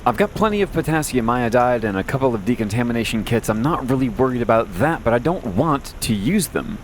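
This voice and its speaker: A man's voice: medium pitch, expressive, brisk, a little hiss or hum, natural voice. A man playing a wry, weary post-apocalyptic radio host who rambles conversationally through a late-night audio drama monologue. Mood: wry, chatty. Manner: conversational, wry, rambling asides and self-corrections.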